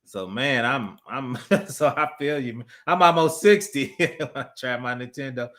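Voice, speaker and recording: A man's voice: medium pitch, moderate pace, fairly bright tone. A man, chatting casually on a livestream, reading viewer messages, laughing often and rambling through asides. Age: 50s. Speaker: livestream host reading super chats.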